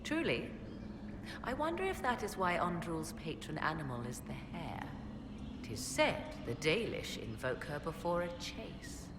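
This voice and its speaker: A woman playing an aloof, scholarly sorceress in a video game, speaking formally with archaic, measured, dry phrasing. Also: low-pitched, sing-song, background noise, heavily acted. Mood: cool, wry. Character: aloof scholarly sorceress, learned in elven lore. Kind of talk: video game dialogue acting. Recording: long hall reverb, game music behind.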